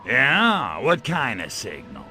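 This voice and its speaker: An actor playing a cocky, wisecracking alien invader in a cartoonish video game, with a sardonic, drawling delivery. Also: male voice, medium pitch, huge pitch swings, takes it slowly, creaky, sharp tone, faint music behind, exaggerated voice.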